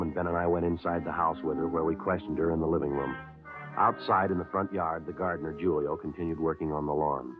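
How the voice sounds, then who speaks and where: An actor playing a terse police sergeant narrating in a clipped, deadpan way, in a 1950s radio drama. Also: male voice, a bass voice. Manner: clipped, deadpan, flat delivery. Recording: tinny old radio broadcast with music.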